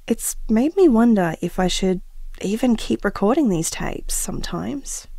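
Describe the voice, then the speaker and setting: A woman's voice: medium pitch, dark tone, no echo. A woman playing an earnest expectant mother in an audio drama, confiding reflectively and hesitantly as if to a taped diary.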